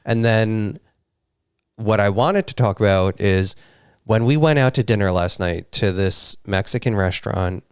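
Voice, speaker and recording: Low smooth-voiced man telling stories. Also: expressive, unhurried, dry recording, studio quality. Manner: rambling storyteller. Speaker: co-host. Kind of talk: casual live-stream chat.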